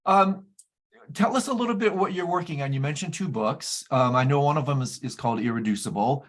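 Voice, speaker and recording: A man's voice: a little high, fairly quick. A male interview host who speaks effusively and with enthusiasm about his guest's ideas. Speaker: podcast host. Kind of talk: conversational interview.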